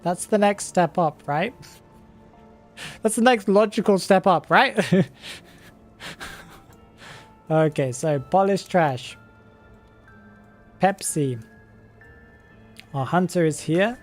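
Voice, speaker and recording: A British-accented streamer talks easily to their chat, chuckling often and wondering aloud. The voice sits at an ordinary middle pitch. Quiet music plays faintly in the background. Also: takes it slowly, long pauses. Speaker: game streamer. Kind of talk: live-stream chat reading viewer comments.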